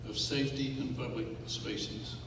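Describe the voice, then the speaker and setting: An older Texan man delivers a grave, unhurried talk to a club audience. He pauses often and leans on fillers. The recording is distant and echoey, with steady noise in the background. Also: medium pitch. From West Texas. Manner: measured, grave, with frequent uh fillers. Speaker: guest speaker. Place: meeting hall. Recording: echoey hall from far off, noisy.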